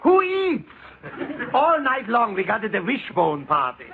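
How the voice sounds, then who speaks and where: A man's voice: sits high, wildly swooping pitch, measured pace, creaky, heavily acted. A man playing a jolly, joking German immigrant family man in old radio comedy, exuberant, with a German accent and broken English. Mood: jovial, joking. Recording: tinny, noisy old radio recording.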